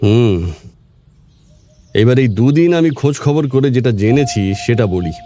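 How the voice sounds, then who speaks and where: Man playing a mellow, chatty policeman. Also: medium pitch, animated, no echo, close to an everyday voice. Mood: calm, informative. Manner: conversational, unhurried storytelling. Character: calm, chatty police officer explaining his findings. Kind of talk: horror audio drama acting. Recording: faint music under the voice.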